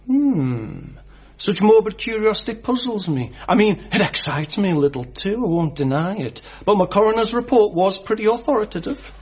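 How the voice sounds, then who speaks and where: An actor playing a creepy, morbid pathologist in a radio comedy drama, talking in a pedantic, earnest and oddly formal way. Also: male voice, sits high, big pitch swings, moderate pace, a little mellow, breathy edge, highs cut off, full character voice. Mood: morbidly curious. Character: creepy, morbid male pathologist who befriends corpses.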